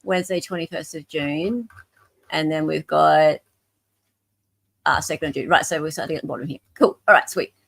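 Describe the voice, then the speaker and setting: A woman's voice: low voice, moderate pace, gravelly, pauses often, a bit bright, no room sound. An Australian woman hosting casual live commentary, informal and thinking aloud as she goes. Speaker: host leading a live group read-through of emails.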